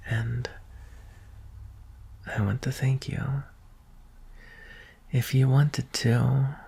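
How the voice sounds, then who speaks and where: A man playing a gentle, vulnerable young alien prince in an ASMR roleplay, speaking softly and hesitantly, often in a whisper. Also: medium pitch, heavily acted. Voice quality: soft, breathy, often whispering. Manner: hesitant, earnest, slow with long pauses. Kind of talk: ASMR roleplay, soft intimate acting.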